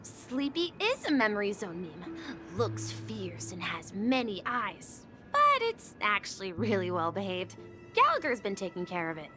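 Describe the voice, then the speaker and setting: A woman's voice: mid-range voice, huge pitch swings, unhurried, faint music behind. A woman voicing a polite, eager, innocent young boy bellboy in a video game, earnest and slightly hesitant. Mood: friendly, earnest.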